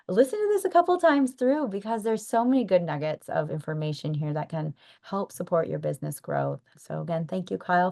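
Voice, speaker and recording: A lower-pitched woman with an American accent hosts the interview, answering her guest with warm, affirming reactions. She frames questions with easy enthusiasm in a steady, conversational way. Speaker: podcast host. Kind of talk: interview hosting.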